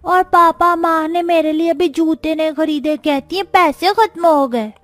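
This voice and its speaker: A woman's voice: very high voice, a little slow, a bit bright, no room sound. A woman voicing an eager, sweet young child in a cartoon family story. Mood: complaining. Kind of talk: Hindi cartoon story acting.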